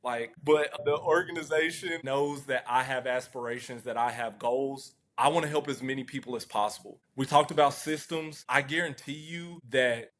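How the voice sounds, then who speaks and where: A man with a medium-pitched voice speaks as an interview guest. He answers conversationally, halting and restarting as he thinks, with a modest, self-effacing way of putting things. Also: fairly bright tone. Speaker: interview guest, car dealership internet director.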